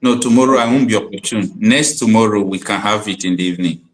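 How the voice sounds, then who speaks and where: A man's voice: lowish voice, fast, poor audio. A man hosting an online call-in chat, speaking earnestly and often repeating himself. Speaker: online show host.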